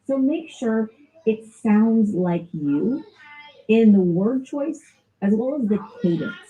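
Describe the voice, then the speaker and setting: A woman's voice: on the high side, animated, slow, dark tone, slight background noise. A woman with a Southern US accent giving a casual, informal sales training lesson and laughing often. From American South. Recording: dull lo-fi recording.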